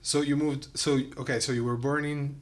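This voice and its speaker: A man in his thirties hosts a podcast interview in a mid-pitched voice. He steers with brief prompts and follow-up questions, close to a studio microphone in a small bright room. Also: level tone, moderate pace. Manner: short prompts and follow-ups. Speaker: podcast host. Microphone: studio mic on boom arm.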